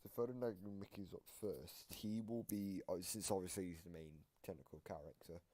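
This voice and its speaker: A man with a low-pitched voice gives casual gaming commentary as a video host. He explains in a loose, rambling way over faint background noise on a home microphone. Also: steady tone, brisk, mellow. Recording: noisy home microphone recording.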